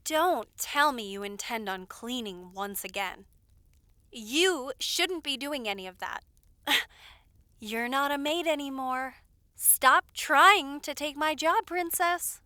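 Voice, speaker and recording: A caring young lady's maid played by a woman talks in a high, bright voice. She is loyal and attentive, fussing over her charge with familiar, gentle scolding. It is audio roleplay acting, recorded dry. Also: expressive, takes it slowly, no echo, exaggerated voice. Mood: playfully scolding.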